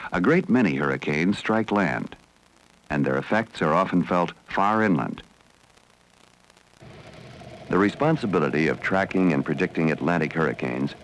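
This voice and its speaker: Very low male voice, American accent, lively intonation, formal educational film narrator, measured and serious delivery. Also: slight background noise, low-quality recording. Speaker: documentary narrator.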